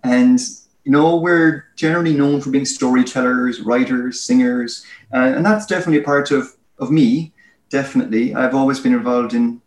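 Irish man in an echoey room. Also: medium pitch. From Ireland. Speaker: guest, head of a research firm. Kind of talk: conversational interview answers. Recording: roomy, echoey sound.

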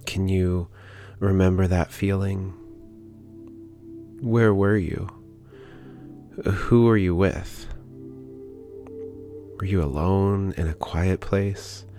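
A man leading a guided prayer meditation in a calm, gentle, unhurried manner with pauses. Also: sits low. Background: background music.

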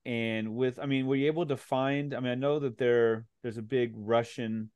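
A man's voice: mid-range voice, steady tone, moderate pace, darkish tone, clean tone, no room sound, clean studio sound. A man hosting a podcast, asking rambling, searching questions full of fillers. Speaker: podcast host. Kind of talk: casual interview conversation.

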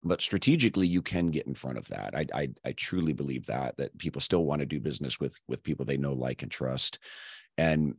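A man hosting an interview podcast whose voice is hoarse and scratchy from losing it. He talks earnestly and builds long questions in several parts. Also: a bass voice, brisk, dry recording, clean studio sound. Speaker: podcast host.

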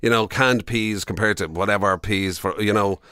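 A man's voice: fairly low pitch, fairly even intonation, moderate pace, sharp tone, no echo, studio quality. An Irish man co-hosting a radio show in casual, chatty banter.

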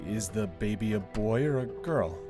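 An actor playing a cynical, sardonic survivor who works as a tunnel guide in a science fiction audio drama. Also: male voice, on the low side, moderate pace, natural voice. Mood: cynical, weary. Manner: sardonic, animated. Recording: music under the voice. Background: music.

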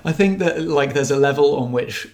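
A man's voice: a little high, moderate pace, a little mellow, slight background noise. A man co-hosting a podcast, giving a casual film review in a hesitant way, circling and correcting his phrasing. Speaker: podcast co-host reviewing films.

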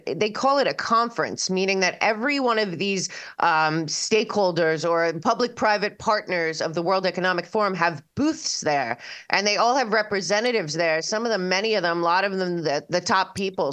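A woman's voice: mid-range voice, a bit bright. A woman hosting a show, giving conversational political commentary in an emphatic, rambling way. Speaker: show host.

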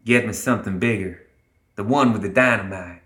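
A man's voice: lowish voice, a little fast, fairly bright tone, echoey room, lightly acted. A man voicing a stern, sardonic female gremlin leader with a curt, begrudging manner in a fantasy audiobook. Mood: menacing.

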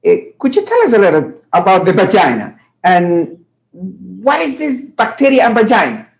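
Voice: mid-range voice, wildly swooping pitch, normal speed, vocal fry. An interviewer who asks questions hesitantly and reacts with enthusiasm. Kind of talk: casual interview.

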